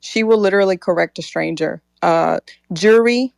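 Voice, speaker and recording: A woman's voice: fairly low pitch, moderate pace, creaky, dry recording, clean studio sound. A young adult woman co-hosting a podcast, telling stories in a casual chat and mimicking other people's voices as she goes. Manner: animated storyteller, mimics voices. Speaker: podcast co-host.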